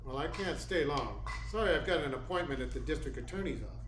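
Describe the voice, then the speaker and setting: An actor playing a tough, cool, wisecracking private detective in a radio play, with a curt, dry manner. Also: male voice, on the high side, expressive, quick pace, natural voice. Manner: curt, dry, hard-boiled. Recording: roomy echo, faint noise.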